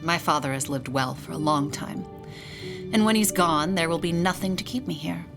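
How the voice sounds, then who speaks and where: A fatalistic young noblewoman with a deep voice for a woman. She is languid, wry and theatrical in this audio drama, with music clearly playing behind her. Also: normal speed. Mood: jaded. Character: jaded young aristocratic lady, idle, fatalistic and wry.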